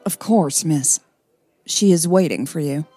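A woman's voice: low voice, unhurried, warm tone, slightly rough, no room sound, soft background music. Woman voicing a formal, deferential male restaurant host.

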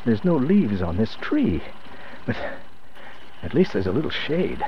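A man's voice: medium pitch, big pitch swings, measured pace, dull audio, noisy background. An actor playing a nervous, weary divorced man with a hesitant delivery in a radio drama. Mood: frightened, panicky. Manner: hesitant, stammering.

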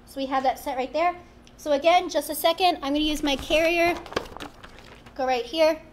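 A young woman hosting a live craft demo in a small studio, chatty and playful, with a high, girlish voice. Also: moderate pace, slight background noise. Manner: chatty, playful, self-teasing. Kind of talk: live-streamed product demo. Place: small studio with branded backdrop, indoors.

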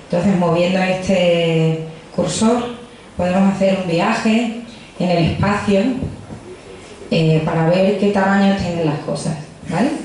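A woman's voice: fairly low pitch, steady tone, takes it slowly, darkish tone. Young woman with a Badajoz accent. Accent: Badajoz Spanish. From Extremadura, Spain. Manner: chatty, jokey, addresses audience directly. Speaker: physicist giving a public talk. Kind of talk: popular science talk. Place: crowded pub, indoors. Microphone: handheld microphone. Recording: echoey pub room with faint noise.

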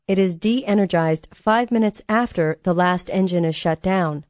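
A woman reading scripted training narration in a measured, formal manner, as a course narrator. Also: medium pitch, a little mellow, no echo, studio quality.

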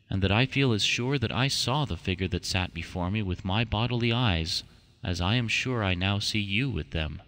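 A man narrates a ghost story audiobook in a fairly low voice. His delivery is measured, formal and deliberate. A long reverb trails behind his words. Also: fairly bright tone.

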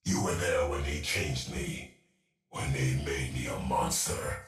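Very deep, menacing cyborg assassin. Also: male voice, slow pace, exaggerated voice. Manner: menacing, broken, unhinged muttering. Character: vengeful, paranoid male cyborg assassin haunted by voices. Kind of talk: video game character voice lines. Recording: roomy, distant, music behind.

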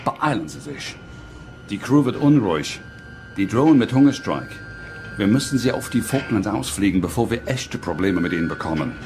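An actor playing a brisk, businesslike policeman in a radio play, speaking in clipped phrases. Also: on the low side, very animated, background noise. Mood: urgent. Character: brisk male police colleague reporting findings.

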